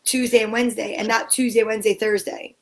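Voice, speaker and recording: High-voiced woman in halting, mock-indignant banter. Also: level tone. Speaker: co-host. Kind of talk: casual chat, banter.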